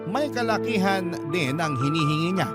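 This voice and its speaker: Higher-pitched male storyteller over loud music. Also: expressive, normal speed. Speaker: radio drama host and narrator. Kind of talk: dramatic first-person reading of a listener's letter. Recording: loud music bed under voice. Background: prominent instrumental music.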